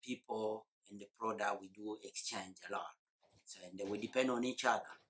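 A man's voice: fairly low pitch, fairly even intonation, normal speed, a bit bright. A man in his forties from eastern Congo, explaining at length and thoughtfully in an oral history interview, with hesitant fillers, recorded indoors on a lapel mic. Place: indoor room.

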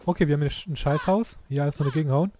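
A hoarse man giving casual, excitable commentary while playing a game, often exclaiming and swearing at what happens. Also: on the high side, rapid-fire. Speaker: let's play youtuber.

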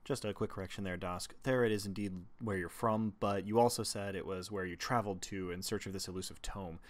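A man's voice: deep voice, steady tone, a little fast. A man speaking as game master, moderating a tabletop roleplaying game in an orderly, explanatory manner.

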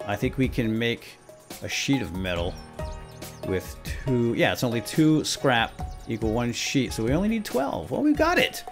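A man's voice: mid-range voice, very animated, normal speed, over music. A man hosting a gaming let's play, giving playful, exuberant commentary as he talks to himself and viewers. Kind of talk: playful game commentary with light role-play.

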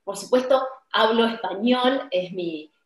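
A middle-aged woman with an Argentine accent, a wedding officiant explaining her services on a video call, earnest and pausing often with "eh". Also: fairly high pitch, reverberant. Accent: Argentine Spanish. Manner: warm, earnest, frequent "eh" pauses. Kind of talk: promotional video call chat. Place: indoor room with tiled wall, flowers and candle. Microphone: video call webcam.

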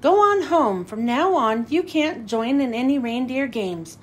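A woman's voice: sits high, expressive, a little slow, a little hiss or hum. A woman voicing a stern, dismissive male reindeer coach in a storybook, scolding firmly.

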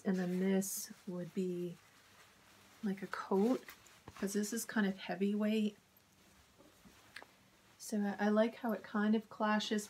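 A woman casually showing fabric in her home sewing studio, her voice crackly from a cold, often dropping to a soft whisper. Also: medium pitch. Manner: soft-spoken, drifts into whispers when admiring fabric. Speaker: sewing vlogger. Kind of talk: casual fabric haul chat.

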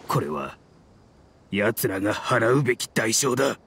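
A man voicing a grim, resolute mercenary in a video game, speaking in a rough, blunt and earnest dramatic manner. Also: on the low side, very animated, measured pace, a little hiss or hum, full character voice. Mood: resolute, vengeful. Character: grim, resolute mercenary man bent on avenging his leader. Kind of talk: dramatic anime-style game acting. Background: soft music.

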